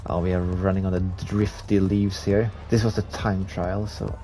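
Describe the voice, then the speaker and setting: Swedish man streaming, low mellow voice. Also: flat delivery, moderate pace, faint music behind. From Sweden. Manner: chatty, laughs at himself, reads chat aloud. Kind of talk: casual live-stream chat while gaming.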